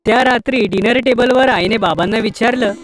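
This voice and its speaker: A woman's voice: on the high side, fairly quick. Woman narrating children's stories over music. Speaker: story narrator. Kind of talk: scripted children's story narration.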